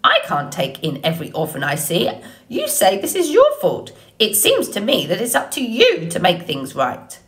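A woman voicing an old female goose in an audiobook story, squawking, bossy and brisk in her manner. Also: mid-range voice, huge pitch swings. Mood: indignant. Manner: indignant, bossy, matter-of-fact.

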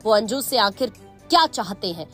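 A woman's voice: mid-range voice, big pitch swings, normal speed, fairly bright tone. A woman with an Indian accent reading a scripted news report as narrator. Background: background music.

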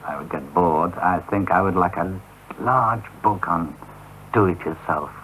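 A man's voice: deep voice, measured pace, a bit bright, slight background noise, poor audio. A middle-aged man talking cheerfully as a radio guest, heard through an old off-air cassette recording. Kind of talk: radio interview.

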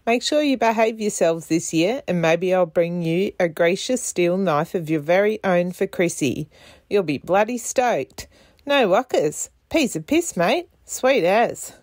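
A woman's voice: fairly low pitch, expressive, unhurried, a little mellow, dry recording, studio quality. A woman listener voicing a jolly Australian Santa version of a stern male soldier, with an Australian accent and a hearty, slang-heavy greeting.

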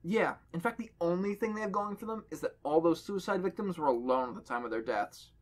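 A man's voice: high voice, animated, a little fast. Man, podcast host, reads story aloud, quips between paragraphs. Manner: reads dialogue aloud, jokes between passages. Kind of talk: casual reading with banter.

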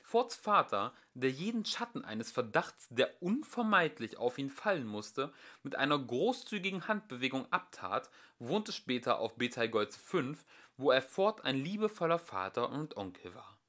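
A young man reading a comic science fiction novel aloud as an amateur home audiobook, with a dry, expressive storytelling manner. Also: mid-range voice, moderate pace, hardly any pauses. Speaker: audiobook reader. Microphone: home recording.

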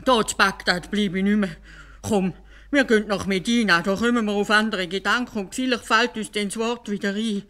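Woman doing a male stork caliph, raised voice, excitable, emphatic exclamations, fairy-tale storybook. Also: medium pitch, brisk, pitched above the performer's own voice, natural voice. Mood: indignant, lamenting.

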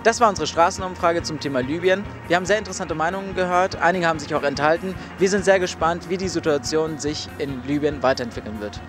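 A man's voice: fairly high pitch, fast, hardly any pauses, music in the background. Young German man hosting a street poll. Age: young adult. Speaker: street interviewer, host. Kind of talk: street vox-pop interviewing. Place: city street outdoors. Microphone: handheld mic with large foam cover.

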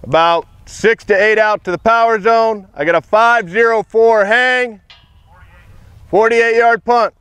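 A man with a high, smooth voice reads off punt numbers in quick, clipped calls. He stands outdoors by a football field, caught by the camera's own mic from off-screen. Every call follows the same routine. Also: fairly even intonation, a bit bright, no echo. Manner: brisk, repetitive stat calls. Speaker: kicking coach timing punts. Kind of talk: clipped play-by-play evaluation.

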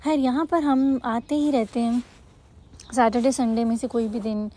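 A woman's voice: a little high, steady tone, unhurried, warm tone. A woman in her thirties chatting casually for a family vlog, rambling with fillers and corrections, filmed on a phone in a noisy restaurant. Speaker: vlogger. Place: busy restaurant. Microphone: phone camera.

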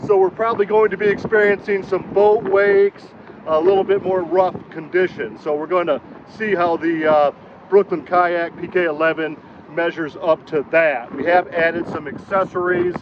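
A man's voice: high voice, steady tone, moderate pace, lo-fi sound, slight background noise. A man casually reviewing a fishing kayak while out on the water, talking things through with lots of fillers. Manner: easygoing, lots of uh fillers, explains as he goes. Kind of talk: casual outdoor review vlog. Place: on a kayak out on open bay water.

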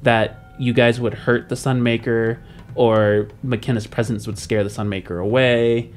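A young man casually discussing a game story, informal and halting as he rephrases his thoughts. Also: mid-range voice, flat delivery, a little hiss or hum. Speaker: podcast panelist posing questions. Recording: music playing behind the voice. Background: background music.

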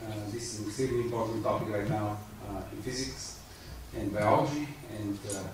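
A man's voice: on the low side, fairly even intonation. Hesitant man in an echoey hall. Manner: hesitant, many fillers, halting delivery. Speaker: conference opener, funding agency official. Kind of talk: opening remarks at a conference. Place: large conference hall, indoors. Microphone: handheld microphone. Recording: echoey hall, picked up from a distance, audible room noise.

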